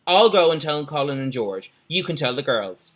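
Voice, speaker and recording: A man voicing a sensible, bossy schoolboy club leader in a children's story, brisk and questioning. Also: on the high side, sing-song, a bit bright.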